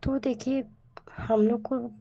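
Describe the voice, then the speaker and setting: Hoarse woman giving earnest thanks. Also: on the high side, steady tone, slow, dark tone, lo-fi sound. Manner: earnest, grateful, repeats thanks over and over. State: hoarse from a cold. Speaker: devotee sharing a testimony. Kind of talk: devotional testimony.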